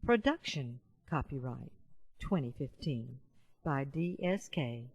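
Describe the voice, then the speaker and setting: A woman reading nonfiction audiobook narration in a measured, carefully enunciated way. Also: a bass voice, lively intonation, slightly rough, dry recording. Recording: dull, muffled lo-fi voice-over.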